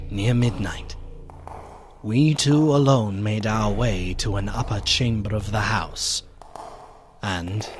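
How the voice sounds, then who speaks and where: A grave gentleman haunted by horror in a melodramatic gothic radio drama. He speaks slowly and solemnly, weighting his words with ominous dread, over faint music. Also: fairly low pitch. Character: grave, horrified gentleman friend recounting a gothic tale.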